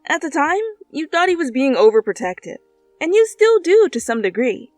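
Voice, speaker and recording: A woman's voice: sits high, big pitch swings, normal speed, no room sound. A woman reading scripted second-person fiction as a narrator, close to the microphone. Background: soft background music.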